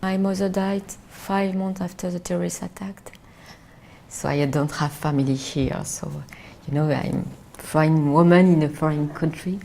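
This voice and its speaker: A middle-aged woman from France with a French accent, speaking English as a second language in a reflective interview, halting and searching for words. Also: lowish voice, steady tone, vocal fry, mellow, slight background noise. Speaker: documentary interviewee.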